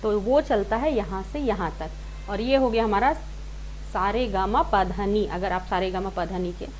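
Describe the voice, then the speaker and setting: A woman's voice: mid-range voice, unhurried, darkish tone, slight background noise, rough recording. A woman teaching a singing lesson step by step, informally rephrasing and repeating her points and mixing in English words. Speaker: singing teacher, series host.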